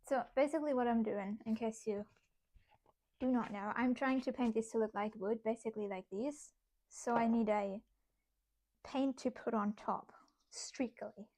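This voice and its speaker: Brief: young woman, German accent, slightly high voice, loose chatty livestream talk, reads chat while she works, giggles a lot. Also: normal speed, mellow. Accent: German, with some British English. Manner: chatty, laughs often, talks to herself while working. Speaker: streamer. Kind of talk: casual craft livestream chat, reading chat aloud.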